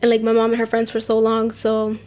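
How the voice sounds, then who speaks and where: A woman's voice: fairly high pitch, completely flat delivery, a little fast, darkish tone. A young woman from Portland, Maine, a makeup artist talking casually as an interview guest, with many fillers. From Portland, Maine, USA. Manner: chatty, many fillers, quotes others.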